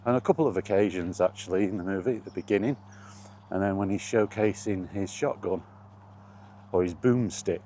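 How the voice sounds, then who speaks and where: Deep-voiced British man chatting over background noise. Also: brisk, mellow. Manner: opinionated, blunt, mildly sweary. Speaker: video blogger. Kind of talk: rambling casual chat to viewers.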